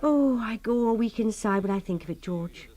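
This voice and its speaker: A woman's voice: fairly high pitch, lively intonation, normal speed, warm tone, close to an everyday voice. An actress playing a doting, fretful wife in a radio comedy-drama, overwrought and gushing, smothering her husband with emphatic devotion. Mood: gushing admiration.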